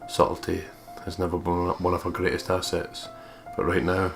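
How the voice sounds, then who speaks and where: Deep, creaky-voiced man reading game narration. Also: completely flat delivery, moderate pace. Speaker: let's play co-host. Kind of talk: reading game narration aloud, casual commentary. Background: game music.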